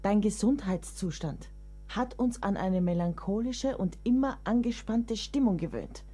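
Middle-aged woman writer for radio drama: mellow, devoted, wry and motherly, faint music behind. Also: mid-range voice, animated, a little slow, breathy edge. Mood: weary, sober. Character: devoted, exasperated woman writer nearing forty. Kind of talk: radio play acting.

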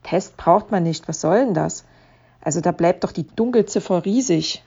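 A woman's voice: fairly low pitch, normal speed, gravelly, dark tone, breathy edge. A woman playing a blunt online commenter in a social media audio collage, slightly muffled in sound. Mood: skeptical, indignant.

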